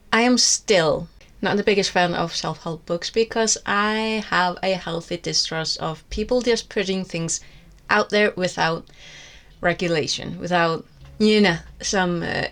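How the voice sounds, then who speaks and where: A woman from Iceland with an Icelandic accent, whose first language is Icelandic, candidly reviewing books on her vlog in a wry, rambling way with many restarts. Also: medium pitch. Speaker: book vlogger. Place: seated indoors with books on her lap.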